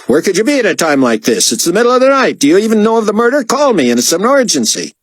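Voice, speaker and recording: Shrill, haughty, temperamental male artiste. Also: sing-song, very fast, dry recording. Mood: frantic, demanding. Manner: demanding, impatient, theatrical. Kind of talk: audiobook character voice.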